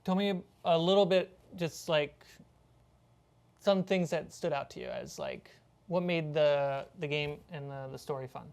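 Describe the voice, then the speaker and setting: A woman with a moderately low voice hosts a casual post-game discussion as game master. She asks questions in a loose, halting manner. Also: a little mellow.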